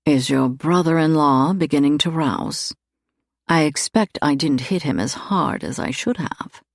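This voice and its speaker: Woman voicing a suave, sardonic gentleman thief, low-pitched. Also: animated, unhurried, vocal fry, no echo, studio quality, full character voice. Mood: dryly teasing. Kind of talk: audiobook character dialogue.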